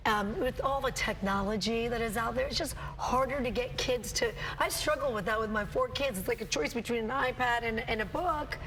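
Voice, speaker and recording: A woman's voice: fairly high pitch, fairly quick, hardly any pauses, a bit bright, slight background noise, poor audio. A woman hosting a live morning TV show, chatty and playful with her guest. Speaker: morning news anchor. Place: TV studio.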